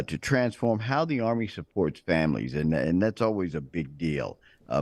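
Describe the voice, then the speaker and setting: A man hosting a morning TV news show, speaking in a measured way with frequent fillers. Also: low-pitched, a little mellow, no echo. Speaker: TV news host. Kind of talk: morning TV interview. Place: TV studio.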